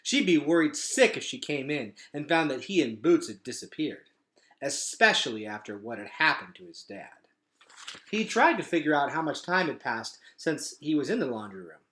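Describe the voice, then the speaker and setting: A man reading a storybook aloud as an expressive, dramatic narrator. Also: a little high, normal speed. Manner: animated, dramatic. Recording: echoey room.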